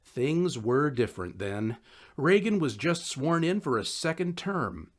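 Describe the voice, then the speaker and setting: A man reading a scripted first-person story in a wry, conversational, expressive way. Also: on the high side, measured pace. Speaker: audiobook narrator.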